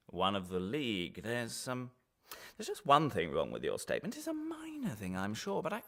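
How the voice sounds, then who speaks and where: An actor playing a menacing male official in a radio drama, falsely friendly and halting, sometimes sinking into a whisper. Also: on the high side, fairly bright tone, full character voice. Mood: mock apologetic. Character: smooth menacing male official interrogating a detainee. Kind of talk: one-man radio drama monologue.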